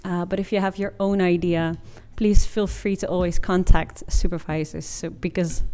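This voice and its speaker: A woman's voice: fairly low pitch, steady tone, moderate pace, dark tone, a little hiss or hum. A woman in her twenties giving an informative presentation in an informal, explanatory way. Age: 20s. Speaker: master's student presenter.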